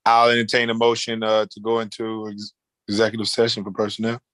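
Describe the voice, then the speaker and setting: Low, even-toned man chairing a meeting. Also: fairly quick, no echo. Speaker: chairman running a board meeting. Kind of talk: formal but halting meeting procedure. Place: virtual meeting call.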